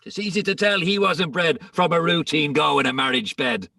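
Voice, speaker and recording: Guy doing boastful old man pleading in rhyme, creaky bright voice, declaiming, bit off the mic. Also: fairly high pitch, expressive, moderate pace, dry recording, clean studio sound, distant mic, exaggerated voice. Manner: declamatory, rhythmic, emphatic. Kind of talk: dramatic reading of comic verse.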